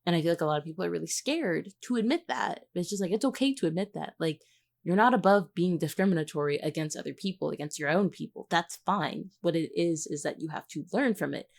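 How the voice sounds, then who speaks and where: A woman's voice: lowish voice, animated, fast, vocal fry. A woman chatting on a podcast in an informal, reflective, analytical way, often padding her points with "like". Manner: informal, reflective, hedging with frequent 'like'. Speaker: voice actor, podcast guest. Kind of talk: casual analytical podcast chat.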